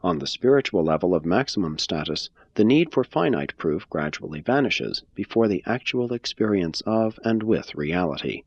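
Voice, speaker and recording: A man giving a measured, phrase-by-phrase scripted reading of a religious text. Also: low voice, lively intonation, husky, no echo, lo-fi sound. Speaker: reader.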